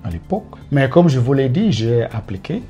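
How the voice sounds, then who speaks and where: A man from Cameroon, a teacher answering interview questions in a careful, measured way with frequent hesitations. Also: mid-range voice, sing-song, mellow, dull audio, faint music behind. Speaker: teacher guest.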